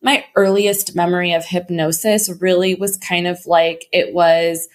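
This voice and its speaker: A woman hosting her own podcast in a casual, upbeat way, her voice slightly hoarse. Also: on the low side, flat delivery, unhurried. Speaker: podcast host. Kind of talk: casual solo podcast talk.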